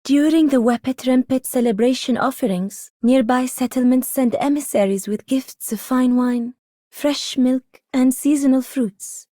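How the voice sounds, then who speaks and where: A woman's voice: high-pitched, measured pace, warm tone, dry recording, clean studio sound. A woman playing a gracious, serene, formal young woman in a scripted game voice line. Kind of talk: scripted game character greeting.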